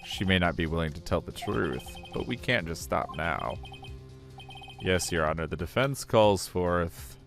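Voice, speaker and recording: Deep-voiced man, slow and earnest. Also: animated, creaky, fairly bright tone, soft background music. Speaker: streamer voicing a game. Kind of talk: fan voice acting of a courtroom game.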